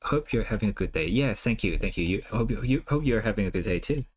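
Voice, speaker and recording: Easygoing man, lowish voice. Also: animated, quick pace, husky. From Hong Kong. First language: Cantonese. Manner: rambling, lots of "like" and "uh" fillers. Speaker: streamer. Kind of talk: casual chat while drawing on stream.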